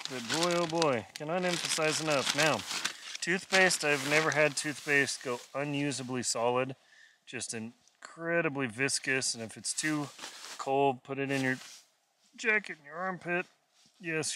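Middle-aged male outdoor vlogger, slow and folksy, explaining routines while busy with gear; clearly noisy on-camera sound. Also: on the high side. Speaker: solo winter camping vlogger. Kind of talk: casual instructional vlog talk. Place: inside a small tent outdoors at night.

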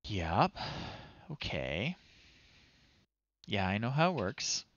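Middle-aged man, unhurried casual game commentary, low-key muttering, close dry headset sound. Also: sing-song, a bit bright, dry recording, lo-fi sound. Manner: low-key, muttering to himself between remarks. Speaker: livestream host playing retro games. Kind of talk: casual live gaming commentary. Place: small room at home, indoors.

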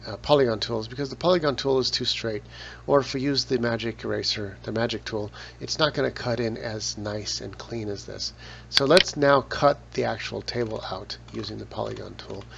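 Gravelly man narrating a software tutorial. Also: medium pitch. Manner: relaxed, explains step by step with casual asides. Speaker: photo editing tutor. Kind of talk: screen-recorded software tutorial. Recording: dull lo-fi voice with loud noise.

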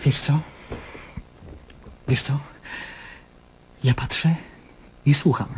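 An anxious, sleepless patient searching for truth in a radio play. His mid-range voice comes in short, halting, agitated phrases, with faint noise in the recording. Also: mid-range voice, steady tone, a little mellow. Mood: agitated, questioning. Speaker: actor. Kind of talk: radio drama acting.

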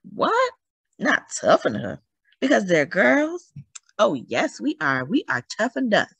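A woman's voice: mid-range voice, huge pitch swings, takes it slowly, creaky, a bit bright, breathy edge, no room sound, heavily acted. A woman voicing a fiery, defiant young woman who leads strikers with emphatic, rousing cries in a storybook. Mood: indignant, defiant.

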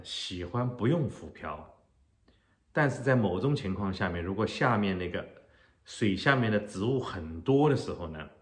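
A middle-aged man teaching a fishing technique in an unhurried way, explaining step by step with pauses, filmed at home indoors. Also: sits low. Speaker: fishing channel host. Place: home living room, indoors. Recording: roomy echo.

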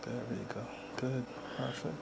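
A middle-aged man talks a client through treatment. His voice has a good deal of fry, and he speaks softly and calmly in short repeated cues. Noticeable room noise sits behind him. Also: mid-range voice, normal speed. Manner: calm, repetitive short cues. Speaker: chiropractor. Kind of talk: soft-spoken instructions to a client during treatment. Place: small indoor treatment room. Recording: noisy room ambience.

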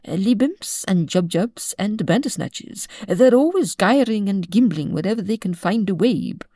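A woman's voice: mid-range voice, lively intonation, measured pace, warm tone, no echo, clean studio sound. A woman voicing a fussy, jumpy elderly king in a storybook reading. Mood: fretful.

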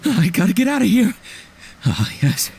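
An actor playing a rattled, paranoid young villager in a video game, stammering and frantic. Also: male voice, sits high, huge pitch swings, moderate pace, creaky, a little mellow, husky, full character voice. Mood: paranoid panic. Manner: stammering, frantic, nervous laughter. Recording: game music behind the voice.

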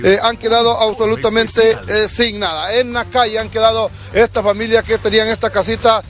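A Honduran man reports live from an outdoor scene. His voice sits high and his delivery is urgent and restless, full of fillers. Faint music runs under the report. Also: flat delivery, a bit bright. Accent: Honduran Spanish. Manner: urgent, restless, fast-talking with many fillers. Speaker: field reporter. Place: outdoors at a burned house.

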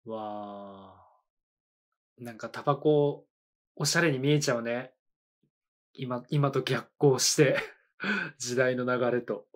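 A man's voice: medium pitch, takes it slowly, long pauses. A man in his thirties hosting an anime reaction video, musing aloud with short reactions and laughs in a small indoor studio. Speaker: anime reaction channel host. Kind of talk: casual reaction commentary.

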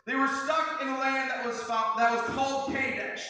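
A young American man delivers an emphatic Pentecostal sermon in a very high, forceful voice, driving points home by repeating phrases. He speaks over a PA in a church hall, caught by a distant camera with heavy echo and long reverb. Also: little pitch movement, normal speed. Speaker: pastor, guest preacher. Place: church sanctuary stage, indoors. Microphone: handheld mic through PA, recorded by far camera.

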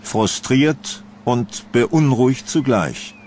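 A man's voice: medium pitch, expressive, slow, darkish tone, slight background noise. A man giving scripted narration of a horror story.

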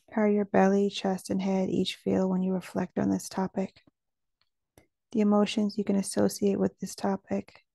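A woman guiding a meditation in a gentle, calming manner. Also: medium pitch, vocal fry, no room sound, clean studio sound. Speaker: meditation guide. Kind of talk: guided meditation narration.

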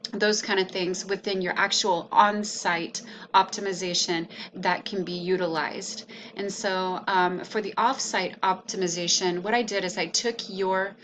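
A woman presenting a website audit as a consultant, talking through a screen share in a casual, explanatory way with hesitant pauses. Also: mid-range voice, a bit bright, slight background noise.

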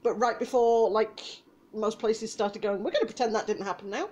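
A woman's voice: mid-range voice, normal speed, noisy background. A young adult woman speaking casually as an actor in an interview, telling stories with quoted asides over a video call. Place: small indoor room with blue backdrop.

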